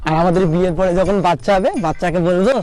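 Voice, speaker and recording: A man's voice: high voice, fairly even intonation, racing, a little mellow, lightly acted. A young man playing a cheeky, exasperated, lovestruck son in an amateur comedy skit, with a whiny, dramatic manner.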